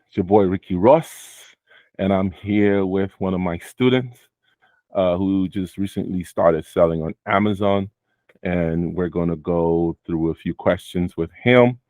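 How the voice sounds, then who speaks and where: A man with a very deep voice hosts a casual chat with his student. He talks loosely, with many fillers, and often sums up what the guest just said. The sound is dry and close. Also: a little slow, dry recording. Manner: relaxed, many fillers, repeats guest's answers. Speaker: host and Amazon seller mentor. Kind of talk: casual video interview.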